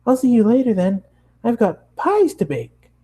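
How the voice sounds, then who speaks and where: A man voicing a bubbly, chattering, excitable young female cartoon pony who works as a baker. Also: extremely high pitch, voice pushed up, heavily acted. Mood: excited. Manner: rapid-fire, gushing, exclamatory chatter.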